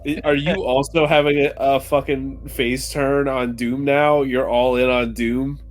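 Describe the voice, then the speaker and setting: A man's voice: mid-range voice, level tone, measured pace. A man playing a tabletop game, bantering casually with sweary, mock-dramatic jokes.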